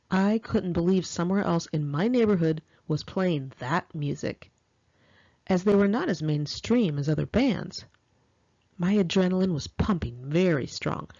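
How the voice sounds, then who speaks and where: Female memoir narrator, American, lively swooping intonation, confiding and dramatic, on a slightly dull lo-fi home recording. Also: on the low side, no room sound. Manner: expressive, confiding, girlish slang. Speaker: author reading own memoir. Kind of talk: first-person audiobook narration, conversational and dramatic.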